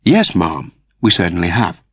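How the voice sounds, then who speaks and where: A man's voice: low-pitched, very animated, moderate pace, a bit bright, husky, no room sound, clean studio sound, heavily acted. A man voicing a polite, unflappable servant android with a soothing, deferential manner in an audiobook story. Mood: cheerful, soothing. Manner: soothing, deferential, cheerful understatement.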